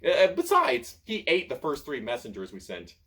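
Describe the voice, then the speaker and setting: A man voicing a fat, squeaky male wizard who stammers and then yells indignantly in a storybook. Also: huge pitch swings, normal speed, full character voice. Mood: flustered.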